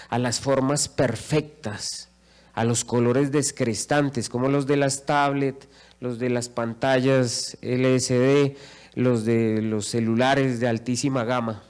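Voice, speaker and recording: A man's voice: medium pitch, darkish tone. A middle-aged man with a Colombian accent giving an impassioned speech in a large auditorium, speaking into a handheld microphone and repeating phrases for rhetorical emphasis. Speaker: lecturer.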